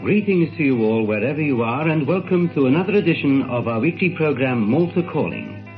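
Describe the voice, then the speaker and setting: A man's voice: medium pitch, animated, fairly quick. A man reading a scripted programme introduction on a lo-fi shortwave recording with guitar music behind. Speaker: radio station announcer. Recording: dull lo-fi shortwave radio recording. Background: faint guitar music.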